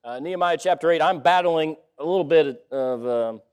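A man preaching a sermon, slightly hoarse from a cold, talking in a conversational way with many fillers and restarts. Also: on the high side, moderate pace, darkish tone. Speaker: pastor.

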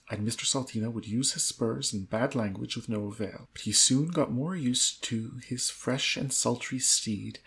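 A woman's voice: rumbling low, gravelly, slight background noise. A woman co-hosting a podcast, reading a story dramatically and chatting casually with wry amusement. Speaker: podcast co-host.

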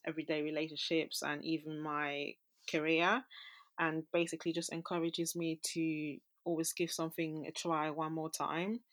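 A woman's voice: deep voice, level tone, moderate pace, a bit bright, dry recording. A young woman from Austria talking casually as an interview guest, with informal, hesitant phrasing. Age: young adult.

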